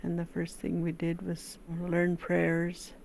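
Older woman, low, gravelly, unhurried and even. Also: a little hiss or hum. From California. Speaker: interviewee, language teacher. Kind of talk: casual recollection.

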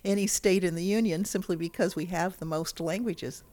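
Low-voiced woman professor explaining. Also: steady tone, normal speed. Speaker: linguistics professor, interviewee. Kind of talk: explanatory interview.

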